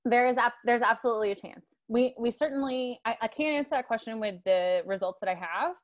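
A woman's voice: on the high side, fairly quick. A young woman from Tennessee giving a guest lecture over a video call on an earbud headset, often filling with ums and adding "right?" to her points. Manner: frequent ums and tag questions like "right?".

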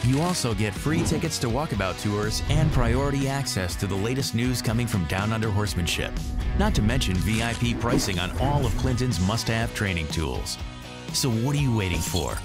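A man's voice: fairly low pitch, expressive, moderate pace. A man reading a scripted advertising voice-over in a polished, promotional manner. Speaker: promo announcer. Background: loud guitar music.

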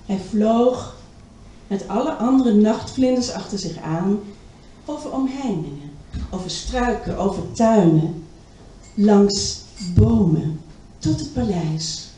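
A middle-aged woman telling a story live on a small stage into a handheld mic, expressive and dramatic, with long pauses. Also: mid-range voice, slight background noise. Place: small indoor stage with curtains. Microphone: handheld microphone. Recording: roomy distant live stage recording.

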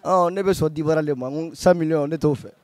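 A young man with a Malian accent, answering a street interviewer outdoors in an animated, insistent way. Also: a little high, fast talker, dry recording. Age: young adult. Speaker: passer-by. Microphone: handheld held by interviewer.

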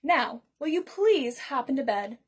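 A high-voiced big brother in a children's storybook, voiced by a woman. He coaxes and questions patiently. There is a touch of exasperation in him. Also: expressive, a little slow. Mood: exasperated. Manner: coaxing, questioning.

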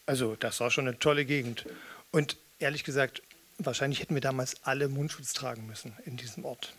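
A man's voice: mid-range voice, fairly even intonation, fairly quick, fairly bright tone. A man in his fifties from eastern Germany, talking casually to introduce a song, close on a stand microphone. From Magdeburg, eastern Germany. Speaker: musician and spoken-word performer. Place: small cinema or theatre hall, indoors. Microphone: stand microphone with pop filter, close.

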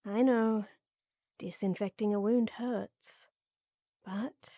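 A woman playing a tall, strong lady knight who flirts and teases softly and coyly in a roleplay audio drama. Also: mid-range voice, steady tone, takes it slowly, no echo, studio quality. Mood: caring, reassuring. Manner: teasing, coy, soft and intimate with chuckles.

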